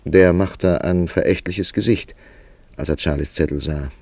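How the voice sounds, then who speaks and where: An actor playing a thoughtful, calculating male writer who tells his story in a deliberate, literary way in a German radio play. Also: deep voice, darkish tone, no room sound. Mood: bewildered. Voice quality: creaky, gravelly.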